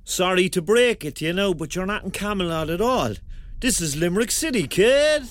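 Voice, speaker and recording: A man's voice: sits high, lively intonation, moderate pace. An actor playing a Cork man in his thirties, narrating a radio drama in a wry, colloquial way with a Cork Irish accent. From Cork, Ireland. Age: 30s. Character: thirty-year-old Cork man, wry, dreamy storyteller. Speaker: narrator and lead character. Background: music.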